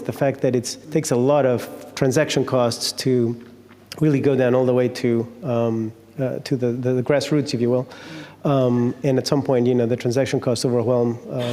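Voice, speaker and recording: Man moderator, mellow and flat, rambling questions, echoey hall. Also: medium pitch. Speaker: panel moderator. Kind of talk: moderating a panel discussion.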